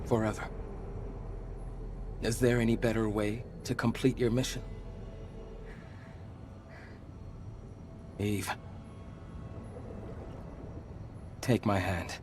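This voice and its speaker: An actor playing an ancient monster leader who was once human, speaking gravely, with measured, earnest persuasion in a video game cutscene. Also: male voice, on the low side, fairly even intonation, darkish tone, a little hiss or hum, natural voice. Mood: urgent, pleading. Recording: background music under the voice.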